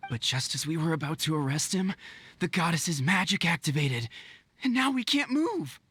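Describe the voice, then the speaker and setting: An actor playing an earnest, excitable royal guard in a fantasy video game, deferential and dramatic. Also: medium pitch, normal speed, husky. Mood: flustered.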